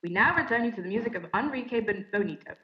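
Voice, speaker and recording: A woman playing a formal 1930s radio announcer, reading bulletins in a measured, scripted way. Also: medium pitch, animated, natural voice. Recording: echoey room, slightly dull sound.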